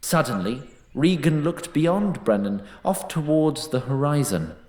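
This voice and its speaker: A man narrating a fantasy story in a dramatic, deliberate, scripted storytelling style. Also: fairly high pitch, lively intonation, a little mellow, echoey room.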